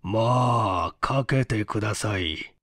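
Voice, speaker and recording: A man voicing a sickly, suspicious rich old man with a gravelly, slurred voice and a halting, nagging manner. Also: on the low side, fairly bright tone, no room sound, clean studio sound, pitched below the performer's own voice. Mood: grudging. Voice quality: gravelly, slurred, thick-tongued. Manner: halting, labored, clinging.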